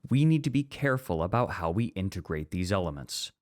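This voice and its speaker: A man playing a measured, traditionalist literature teacher in a scripted ASMR roleplay, speaking formally and with careful reasoning. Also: deep voice, big pitch swings. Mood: debating, reserved.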